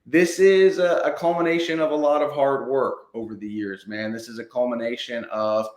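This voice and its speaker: A man's voice: mid-range voice, fairly even intonation, moderate pace, a little mellow. A man in his late thirties, a comedian guest chatting in an informal, conversational way. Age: late 30s. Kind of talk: casual chat. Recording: echoey, roomy sound.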